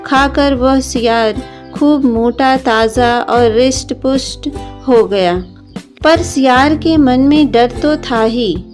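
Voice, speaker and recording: A woman with a high voice tells a children's story at a slow, deliberate pace. It is scripted narration, with music playing clearly behind her. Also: darkish tone. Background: background music.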